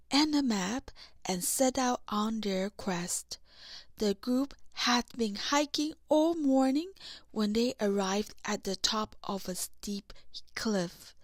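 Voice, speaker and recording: A woman narrating a scripted children's storybook in deliberate, separate phrases. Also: on the high side, no room sound. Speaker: audiobook narrator.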